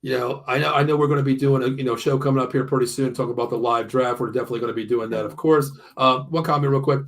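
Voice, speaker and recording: A man's voice: mid-range voice, fairly even intonation, very fast. An American man in his early fifties hosting a casual sports show, talking bluntly and often repeating himself. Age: early 50s. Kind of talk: casual sports banter with ad reads.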